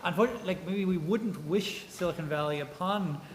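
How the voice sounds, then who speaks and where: A man talks on a conference panel in a thoughtful, wandering way, often tagging his points with "right?". His voice sits a little high for a man, and a long hall reverb trails behind it. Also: moderate pace, slight background noise. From Germany. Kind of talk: panel discussion.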